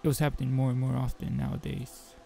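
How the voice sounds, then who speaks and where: A man with a gravelly voice reading a visual novel aloud as a game player, mixing narration with informal joking asides. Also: medium pitch, level tone, quick pace, dark tone. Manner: informal, joking commentary between read passages.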